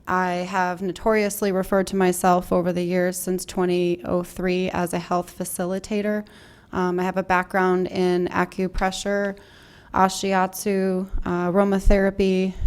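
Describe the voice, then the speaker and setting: A woman's voice: lowish voice, clean tone. A woman applying for a business license, making an earnest statement to a village board with frequent fillers. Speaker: business applicant. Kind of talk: public statement. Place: village board meeting room.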